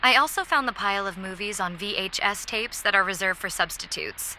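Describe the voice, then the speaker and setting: A woman's voice: medium pitch, a little fast, bright, forceful tone, a little hiss or hum, rough recording. A woman playing a stranded survivor keeping an audio diary, speaking in a wry, reflective way. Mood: wry, hopeful. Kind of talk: analog horror audio diary acting.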